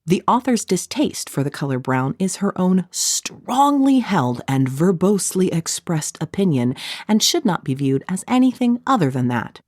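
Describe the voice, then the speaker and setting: A middle-aged woman reading her own audiobook in a conversational, snarky way, with playful deadpan asides. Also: on the low side, normal speed, no echo, clean studio sound. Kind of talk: scripted audiobook narration, conversational.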